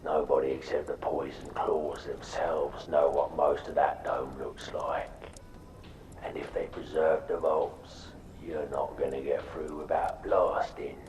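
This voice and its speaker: An actor playing a cautious, mercenary underworld guide in a video game, with a gruff, gravelly, breathy voice. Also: male voice, very deep voice, flat delivery, measured pace, a little mellow. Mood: wary. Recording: echoey, distant, faint ambient noise.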